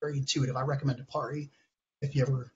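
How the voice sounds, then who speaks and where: A man in his thirties, hoarse from a cold, presenting research slides over a video call in a halting way, with many ums and restarts. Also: mid-range voice, level tone, a little hiss or hum. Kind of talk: technical conference talk. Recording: dull lo-fi call audio.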